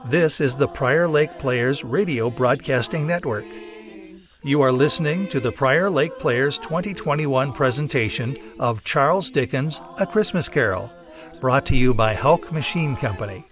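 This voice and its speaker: A man's voice: medium pitch, measured pace, a bit bright. A man reading a formal station announcement as a radio announcer. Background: music.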